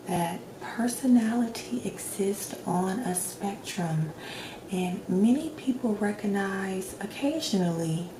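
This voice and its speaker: A woman speaking softly, at times in a whisper, in a gentle, reassuring ASMR roleplay as a doctor questioning a patient. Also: medium pitch. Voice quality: soft, partly whispered. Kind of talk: soft-spoken ASMR roleplay. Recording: roomy echo, clear background noise.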